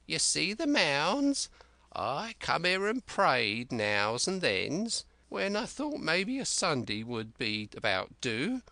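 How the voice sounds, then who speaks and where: A man reading an audiobook and voicing a rambling, excitable old castaway who speaks in rustic dialect. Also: fairly high pitch, slow pace, no room sound, pitched above the performer's own voice, exaggerated voice. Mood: eager. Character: wild-eyed old male castaway, rambling and eager.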